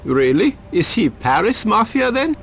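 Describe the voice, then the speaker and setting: A man's voice: high voice, big pitch swings, unhurried, fairly bright tone, a little hiss or hum, full character voice. An actor playing a cagey, self-important, money-minded reporter turned informant in a video game, evasive as he talks. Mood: defensive, haggling. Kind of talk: video game cutscene acting.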